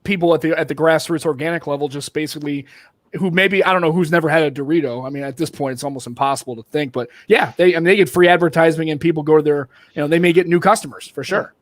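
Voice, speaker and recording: Guy hosting a podcast, loose and rambly, kinda high voice, dry mic. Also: fairly even intonation, fast talker, no echo. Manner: rambling, frequent restarts, loose and informal. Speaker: podcast host. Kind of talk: casual podcast chat.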